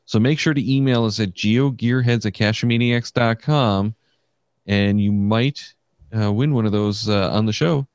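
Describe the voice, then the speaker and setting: A man's voice: lowish voice, a little slow, a little mellow, clear, resonant tone, no echo, studio quality. A man hosting a podcast in casual chat, talking loosely with many fillers and restarts. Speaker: podcast host.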